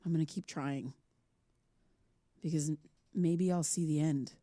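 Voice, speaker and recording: A woman's voice: sits low, steady tone, measured pace, mellow, no room sound. An actress playing a troubled, haunted woman podcaster, earnest and hesitant, in a naturalistic audio drama. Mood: brooding, determined.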